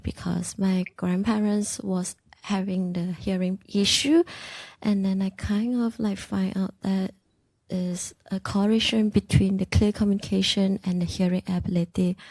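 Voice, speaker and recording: A woman's voice: medium pitch, creaky, darkish tone, no echo. A young woman from Malaysia introducing herself to a class in friendly, non-native English.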